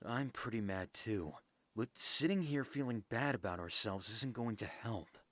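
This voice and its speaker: A man voicing a level-headed young planner in a fantasy visual novel, calm and reasoning. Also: fairly low pitch, a little slow, no echo. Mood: subdued. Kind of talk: amateur visual novel voice acting.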